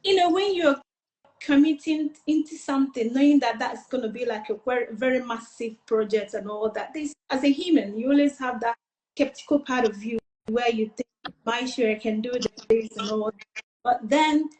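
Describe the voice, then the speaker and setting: A woman's voice: fairly high pitch, moderate pace, a little mellow, reverberant, slight background noise, poor audio. A woman from eastern Nigeria, a pageant finalist, answering interview questions in an earnest, rambling way with many fillers.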